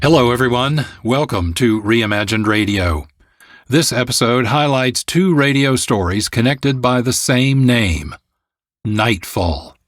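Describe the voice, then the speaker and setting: A man hosting a radio program with a scripted, measured, formal introduction. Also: medium pitch, animated, dry recording. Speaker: producer and host.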